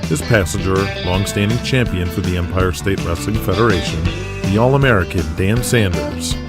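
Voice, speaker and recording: A man narrates a scripted drama in a fairly low voice. Music plays clearly behind his narration. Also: moderate pace.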